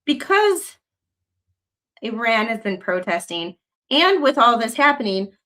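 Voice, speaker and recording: A woman's voice: on the high side, big pitch swings, unhurried, pushed and bright. Young woman from the us rambling on a livestream, lots of ums and restarts. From United States. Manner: rambling, many fillers and restarts; reads news excerpts aloud. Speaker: livestream host. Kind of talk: casual livestream commentary.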